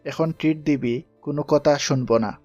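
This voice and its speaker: A man's voice: a little high, moderate pace, warm tone, dull audio, faint music behind, lightly acted. A man playing the hero's hapless young male friend in an audio story. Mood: casual, sheepish.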